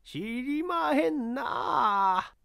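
A reader voicing an elderly inn woman with a Kyoto accent, polite but evasive, in drawn-out singsong replies for an audiobook. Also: sits high, lively intonation, vocal fry, pushed and bright, clear, resonant tone, pitched above the performer's own voice, heavily acted. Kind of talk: audiobook character acting.

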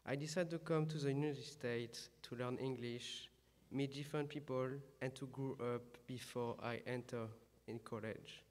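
Young French man, flat careful delivery. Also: medium pitch, normal speed. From France. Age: young adult. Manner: earnest, reading from notes. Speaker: exchange student giving a graduation speech. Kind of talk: prepared ceremony speech. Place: large school gym. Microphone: podium microphone. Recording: echoey gym reverb.

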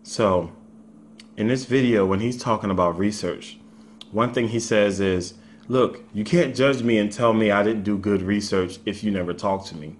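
A man's voice: fairly low pitch, moderate pace. A man in his thirties giving casual, unscripted commentary, arguing his points and repeating himself for emphasis. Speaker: video commentator.

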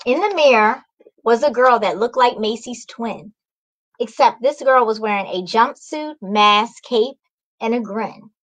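Slow-paced, higher-voiced woman reading aloud. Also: rough recording. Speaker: children's author, guest reader. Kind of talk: storybook read-aloud. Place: small indoor room, video call.

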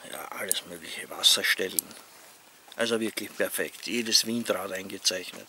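A middle-aged man with an Austrian accent talking casually and haltingly to camera as an outdoor vlogger. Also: on the low side, gravelly, sharp tone. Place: outdoors among leafy trees. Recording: outdoor ambient noise.

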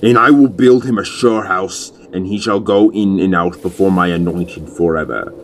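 A man's voice: deep voice, moderate pace, slight background noise. A man playing a stern prophet delivering divine judgment in a solemn, declamatory way in a Bible audio drama. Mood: solemn warning.

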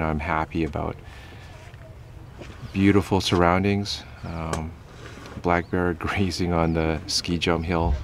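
A man narrating a casual camping and off-road vlog in a low-key, informal way, recorded outdoors. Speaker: vlog host. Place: outdoors at a campground and trails. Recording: background noise, outdoors on location.

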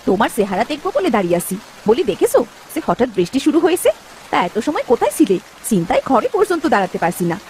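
A woman playing a nagging, sharp-tongued poor village wife and mother in a cartoon story, scolding and sarcastic. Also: a little high, very animated, fast, a little hiss or hum. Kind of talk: dramatic cartoon story dub.

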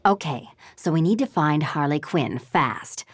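An actress playing a determined, streetwise female villain in an animated video game. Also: low-pitched, a little slow, husky, no echo, exaggerated voice. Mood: urgent, determined. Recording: music behind.

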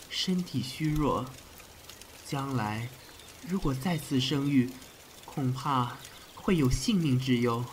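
An actor playing a frank, deferential physician in a period audio drama, speaking formally and in measured phrases. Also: male voice, medium pitch, slight background noise, natural voice. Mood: grave warning. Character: frank, deferential male physician of ancient China.

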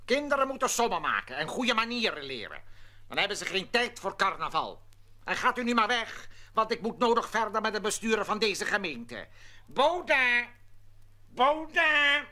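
Sharp, pompous, grumpy mayor. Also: male voice, high-pitched, very animated, moderate pace, full character voice. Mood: stern, dismissive. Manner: pompous, hesitant huffing. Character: stuffy, humourless, grumpy male village mayor. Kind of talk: theatrical children's audio play acting.

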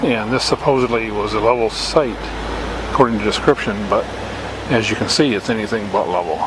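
A man from Michigan casually narrating a camping trip outdoors, relaxed and rambling with lots of uhs, recorded on a handheld camera. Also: lowish voice, brisk, noisy background. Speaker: RV camping vlogger. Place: outdoors at a lakeside campground.

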